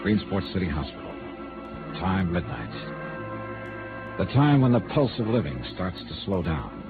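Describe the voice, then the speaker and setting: A man's voice: sits low, sing-song, measured pace. A man with an American accent playing a wry, hardboiled insurance investigator in clipped, dramatic 1950s radio noir style. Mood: brooding. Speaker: lead actor and narrator of a detective drama. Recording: tinny vintage radio recording, music behind.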